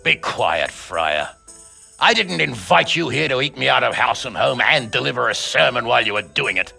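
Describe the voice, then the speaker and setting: A man playing a gruff, sardonic medieval nobleman who talks in a blunt, mocking way in a video game. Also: medium pitch, very animated, normal speed, pushed and bright, faint music behind, heavily acted. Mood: scornful, mocking. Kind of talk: dramatic video game acting.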